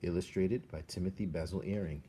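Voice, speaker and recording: A man reading a children's novel aloud as an expressive storyteller, speaking earnestly and directly to the listener with dramatic pauses. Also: low-pitched, slight background noise.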